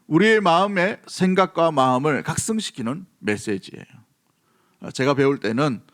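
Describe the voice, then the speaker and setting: A man from South Korea preaching a sermon as a pastor, emphatic, repeating key phrases and putting questions to his congregation. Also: fairly high pitch.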